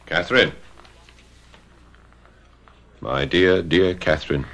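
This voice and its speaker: A man's voice: low voice, slow pace, creaky, fairly bright tone, full character voice. An actor playing a cruel, brooding, passionate man in a theatrical, menacing and anguished radio drama style. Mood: tender, pained. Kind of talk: 1970s radio drama acting.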